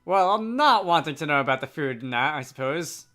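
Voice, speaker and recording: A man's voice: a little high, animated, a little fast, pushed and bright, faint music behind. A man voicing a cheery, food-loving male scholar adventurer from a game, breezy and colloquial. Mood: curious, teasing.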